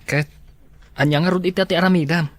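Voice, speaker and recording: A man's voice: high voice, fairly even intonation, quick pace, no room sound, close to an everyday voice. An actor playing an earnest young male student, a concerned friend, in an Ilocano radio drama.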